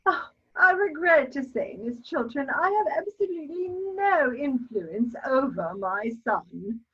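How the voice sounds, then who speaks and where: A woman's voice: high-pitched, very animated, bright, forceful tone, full character voice. An actress playing a haughty elderly society mother in a comedy of manners, grand, plaintive and disapproving, on a muffled recording. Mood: tearful lament. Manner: grand, lamenting, drawn-out delivery. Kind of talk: stage comedy of manners, dramatic reading.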